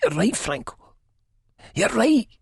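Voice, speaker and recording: A man playing a battered, hapless Scottish junkie in an audiobook, meek and self-loathing, groaning out halting, plaintive lines. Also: sits high, very animated, vocal fry, husky, no echo, studio quality, pitched above the performer's own voice, full character voice. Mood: grateful pleading. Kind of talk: dramatised audiobook acting.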